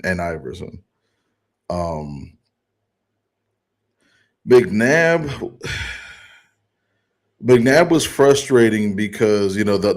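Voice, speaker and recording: A man co-hosting a sports podcast who speaks unhurriedly and somewhat low, weighing his words. He pauses often and restarts sentences. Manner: thoughtful, halting, with many ums and restarts. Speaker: podcast co-host. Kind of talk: casual sports podcast chat.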